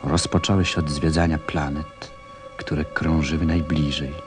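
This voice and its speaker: A man playing a grave, gentle narrator and confidant, telling a story in a measured, reflective way. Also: rumbling low, level tone, over music. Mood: solemn storytelling.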